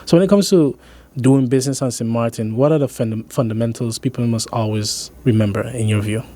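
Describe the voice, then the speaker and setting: A man's voice: mid-range voice, brisk, mellow. A man hosting an interview, asking questions hesitantly with fillers and restarts. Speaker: interview host.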